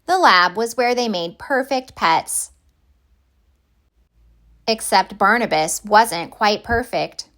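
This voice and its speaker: A woman reading a children's picture book aloud as a storyteller, with deliberate, expressive phrasing. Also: medium pitch.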